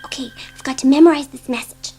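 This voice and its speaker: A woman playing an excitable, curious and anxious young schoolgirl in a dramatised audiobook. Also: sits high, quick pace, background noise. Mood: urgent, excited.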